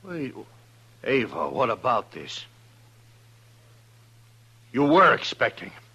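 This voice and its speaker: An actor playing a bitter, jealous, defiant killer in a 1950s radio western, husky and accusing. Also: male voice, mid-range voice, huge pitch swings, slow, sharp tone, heavily acted. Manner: agitated, accusing. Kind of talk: 1950s radio drama acting. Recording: muffled 1950s radio recording.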